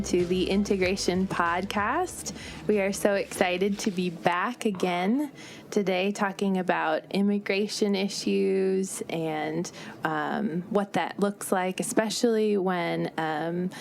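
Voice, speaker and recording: A woman's voice: lowish voice, vocal fry, a little hiss or hum. A young woman hosting a podcast, enthusiastic and hesitant with many fillers. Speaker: podcast host, therapist. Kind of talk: podcast intro and interview.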